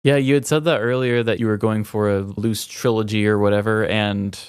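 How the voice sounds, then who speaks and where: A man's voice: fairly low pitch, fairly even intonation, normal speed, smooth, no echo. A man co-hosting a comedy podcast with casual, wry, playful banter, speaking close to the microphone. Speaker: podcast co-host.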